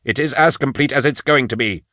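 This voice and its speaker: A man's voice: medium pitch, animated, normal speed, no echo, clean studio sound, voice pushed up, natural voice. A man voicing a stern, officious brother of a grim hospice in a snapping, barking manner. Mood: curt, stern.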